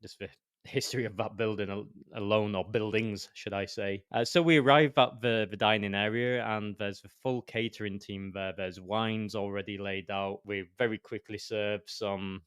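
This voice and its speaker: A man's voice: fairly low pitch, fairly even intonation, normal speed, fairly bright tone, smooth, dry recording, clean studio sound. Yorkshire man telling a rambling story. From northern England. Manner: rambling, enthusiastic, frequent restarts. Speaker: podcast co-host. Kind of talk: casual storytelling.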